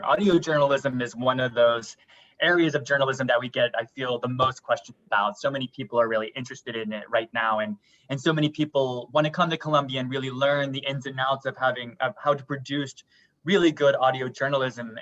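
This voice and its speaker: Dull-sounding webinar audio, male moderator, mid-pitched, polite and explanatory. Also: fairly bright tone. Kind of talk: hosting a panel discussion.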